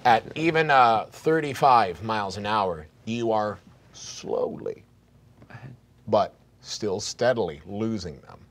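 A man, narrating a tabletop horror game as game master in a halting, dramatic, descriptive way. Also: lowish voice, expressive. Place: indoor studio set.

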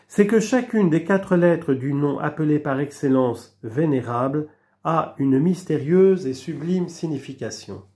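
A man slowly reading aloud from an old theology book, measured and deliberate, with small restarts. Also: on the high side, dark tone. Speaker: reader of a religious book. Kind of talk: slow read-aloud of a theology text.

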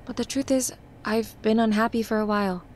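An actress playing an unhappy, resigned partner in a naturalistic audio drama, speaking frankly. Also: female voice, fairly high pitch, steady tone, brisk, darkish tone, a little hiss or hum, natural voice.

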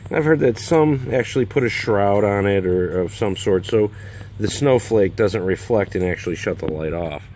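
A man's voice: lowish voice, moderate pace, darkish tone, slight background noise. A man in his thirties giving a casual, practical product review with many fillers and restarts, filming close-ups at home. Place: home, over a glass patio table, then outdoors in a backyard.

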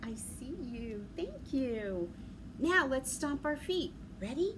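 A middle-aged woman talking to young children as a library story time host, in an animated, sing-song way with simple questions. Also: sits high, slow pace. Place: large library room with big windows, indoors. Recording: clear background noise, room ambience.

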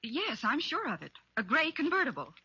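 An earnest female witness in an old radio crime drama. Her voice is bright and fairly high, her delivery careful. The recording is dull with a faint hiss. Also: huge pitch swings, moderate pace, heavily acted. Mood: earnest, sure. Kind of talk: 1940s-50s radio drama acting.

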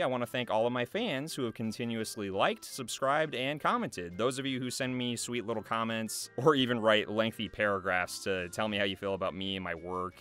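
A young man with a fairly low voice talks casually to his viewers. His delivery is earnest and a little hesitant, as if loosely following notes. Faint music plays behind him. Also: fairly bright tone. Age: young adult. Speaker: voice actor and video creator. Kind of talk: casual thank-you address to camera, loosely scripted. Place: small foam-treated recording booth. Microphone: large studio microphone close by.

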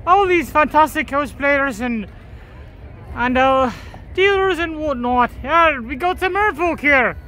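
A woman's voice: sits high, expressive, unhurried, a bit bright, slight background noise. A woman vlogger walking through an outdoor convention promenade, giving casual commentary in a put-on pirate manner with growled "arr" interjections. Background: faint crowd chatter.

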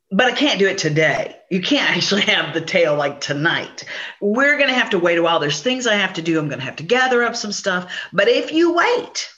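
A woman voicing a boastful, vain male possum in a told story, calling out high and shrill, pleading and wheedling. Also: a bit bright. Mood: smooth-talking. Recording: dull lo-fi recording.

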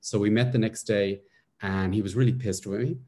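A man's voice: lowish voice, normal speed. A Dublin Irish man in his forties, a guest telling his life story on a video call from his living room with intense energy. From Ireland. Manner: intense, animated storyteller with hand gestures. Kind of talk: casual chat, personal story.

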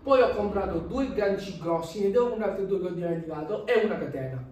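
A young man chats through a how-to in his home gym, pointing at the gear as he explains. His voice sits high for a man. He is heard from across a large, very echoey room. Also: fast talker, a little mellow, far from the mic. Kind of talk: casual how-to vlog. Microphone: camera across the room.

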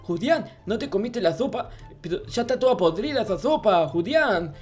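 A performer voicing a childish, excitable, clueless noob in an improvised game roleplay, stammering and repeating words. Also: mid-range voice, normal speed, faint music behind, natural voice. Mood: fretful, puzzled. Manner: repetitive, stammering, exclaiming. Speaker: gaming channel host.

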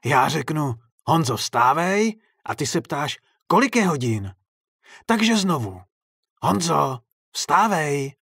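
Sinister circus clown ghost, voiced by a male reader: husky, gleefully vengeful, animated and raised in pitch. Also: no echo, clean studio sound, exaggerated voice. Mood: scolding, teasing. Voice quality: raspy, throaty laugh. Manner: mocking, sing-song scolding.

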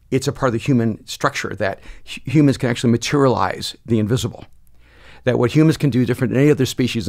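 A man in his sixties, born in El Salvador, talks expansively into a close studio mic in a dark podcast studio. His mid-pitched voice races ahead in places, then slows down to land a point. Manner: expansive, gestures a lot, quick bursts then slow emphasis. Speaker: pastor and author, podcast guest. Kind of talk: reflective conversational storytelling. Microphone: studio mic on a boom, close.

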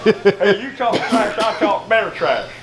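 An older man calls his pool shots aloud and snaps back with playful comebacks. His voice sits high and swings up and down a lot. It is caught on a far camera in an echoey barbershop room with chatter behind. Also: animated, measured pace. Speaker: pool player. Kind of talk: casual banter over pool.

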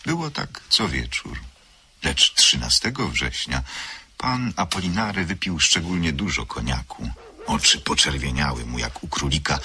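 A man's voice: extremely low pitch, bright, forceful tone, slight background noise, full character voice. A man narrating a literary radio drama of suspense as a solemn storyteller. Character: solemn male storyteller of a gothic tale.

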